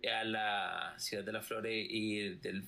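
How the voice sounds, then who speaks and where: A man of about thirty with a very bright, forward voice, pitched a little low. He tells stories casually as a podcast guest and laughs readily. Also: fairly even intonation, slow, gravelly. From Costa Rica and Nicaragua. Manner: laughs often while recounting. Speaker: podcast guest, music producer. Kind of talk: casual interview chat.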